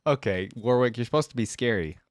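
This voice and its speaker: A man's voice: medium pitch, fast. A man giving casual reaction commentary, informal and musing aloud as he goes. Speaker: reaction video host.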